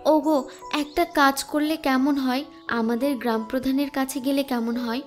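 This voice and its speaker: A woman's voice: high voice, fairly even intonation, moderate pace, soft background music, close to an everyday voice. A woman playing a pleading village wife and mother in a horror cartoon. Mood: persuading.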